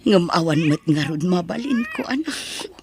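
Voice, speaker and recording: A woman's voice: on the low side, very animated, moderate pace, crowd noise. A woman playing a poor, humble middle-aged mother in an audio drama, pleading and tender. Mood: sorrowful, tender.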